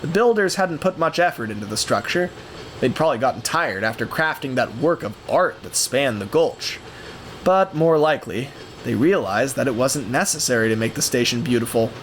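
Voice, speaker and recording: Male narrator, theatrical story reading, highly varied intonation, measured pauses, noticeable background hum. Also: lively intonation. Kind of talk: scripted dramatic storytelling narration.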